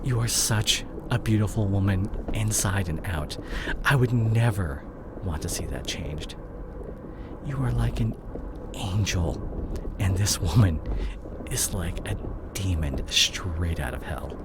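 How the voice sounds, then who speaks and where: A man acting in an audio roleplay as a guilt-ridden disgraced CEO boyfriend, speaking to his partner in a halting, emotional way. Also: sits low. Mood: guilty, pleading. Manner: halting, emotional, repeats and breaks off words. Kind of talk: dramatic audio roleplay monologue addressed to the listener. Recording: clear vehicle noise behind the voice. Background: car ambience.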